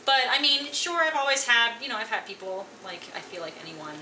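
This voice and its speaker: A woman's voice: a little high, a little fast, slight background noise. Laid-back woman musician, echoey distant room. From Colorado, USA. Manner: relaxed, filler-heavy, frequent "like". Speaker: guest musician. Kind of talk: casual interview conversation.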